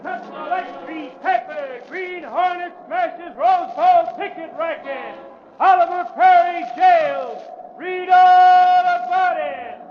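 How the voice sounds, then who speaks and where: A loud American newsboy hawks papers in radio drama style. The cries are very high and stretched slow. The old recording is dull, with some noise. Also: male voice. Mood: urgent, shouting. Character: street newsboy hawking papers, loud and urgent. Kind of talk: 1940s radio drama acting, street cry. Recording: dull noisy old recording, street crowd.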